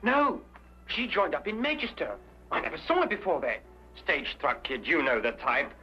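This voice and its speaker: A man playing a nervy, slippery stage escape artist in a vintage detective drama, excitable and pleading, on an old recording. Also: a little high, normal speed. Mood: earnest. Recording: dull old broadcast recording with music.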